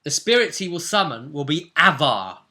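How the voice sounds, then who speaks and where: A man with a bright, forward voice acts as game master, chatting through a tabletop role-play story. He speaks haltingly, circling back to repeat phrases and stress his points. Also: on the high side, big pitch swings. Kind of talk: casual recap of a tabletop role-play session.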